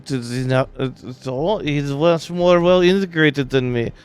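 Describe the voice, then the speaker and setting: A man's voice: on the high side, unhurried, clear, resonant tone, faint music behind, natural voice. A man voicing a brash, pushy Russian man in a fake Russian accent, speaking broken, theatrical English. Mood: cheery. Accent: put-on Russian.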